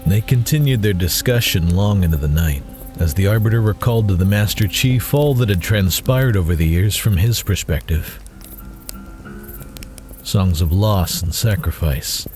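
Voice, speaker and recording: A man's voice: deep voice, darkish tone. A man narrating a scripted science fiction story as the storyteller of an audio drama.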